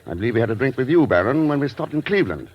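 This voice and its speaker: An actor playing a cool, shrewd secret agent in an old radio drama, calm, dry and understated. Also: male voice, medium pitch, very fast, lo-fi sound. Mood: assured. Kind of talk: 1940s radio drama acting. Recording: noisy old radio recording.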